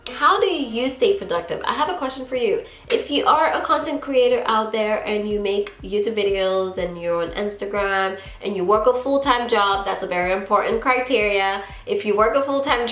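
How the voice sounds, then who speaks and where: A young woman from Haiti talks casually to her camera, answering questions in a chatty, easygoing way with frequent laughs. Her voice sits in an ordinary middle pitch. A roomy echo hangs around the recording. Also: fairly bright tone. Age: young adult. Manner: chatty, laughs often, drawn-out "girl" asides. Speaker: YouTuber answering viewer questions. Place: small indoor studio in front of a plain backdrop.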